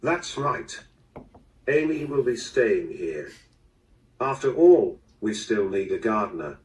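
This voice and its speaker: Composed, formal rich-family gentleman male actor, audio drama, animated pitch movement, distant small-speaker sound. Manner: formal, measured, polite. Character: composed, proper man of a wealthy estate family. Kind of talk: mystery audio drama acting.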